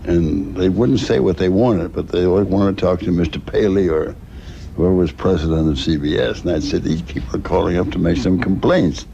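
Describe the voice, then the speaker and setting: Gravelly, low-voiced older man. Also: fairly quick, warm tone. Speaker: interviewee, former broadcast executive. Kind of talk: plain spoken reminiscence. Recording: dull, faintly noisy archival interview.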